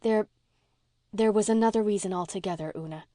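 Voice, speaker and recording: A tender, sincere woman as an audiobook reader plays her, talking softly and frankly as if to a child she is fond of. Her pitch sits in the middle and the recording is dry and intimate. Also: brisk, no echo, natural voice. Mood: gentle. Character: gentle, frank, affectionate young woman comforting a child. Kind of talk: audiobook character voice.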